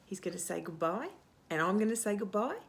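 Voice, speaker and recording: A woman reading a picture book aloud to young children as a storytime host, expressive, with hushed asides and questions to the listener. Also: medium pitch, a little hiss or hum. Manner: animated, expressive, asks questions and pauses for answers.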